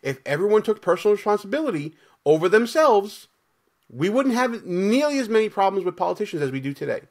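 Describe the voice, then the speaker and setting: A man's voice: high-pitched, very animated, brisk. A middle-aged man talking emphatically in a conversational discussion on a video call from a small room. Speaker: lawyer, podcast panelist. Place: small home office, indoors, video call.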